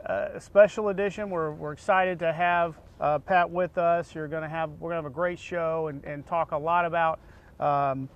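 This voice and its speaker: A man's voice: a little high, muffled recording. An American man speaking as the host of a conversational interview, putting questions to his guest.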